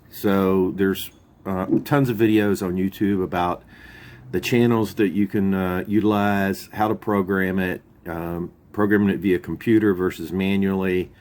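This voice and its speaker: A man's voice: deep voice, darkish tone, slight background noise. A man hosting a video, explaining gear in an informal, folksy, plain-spoken way. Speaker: YouTube channel host. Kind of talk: casual product overview, explaining radio gear.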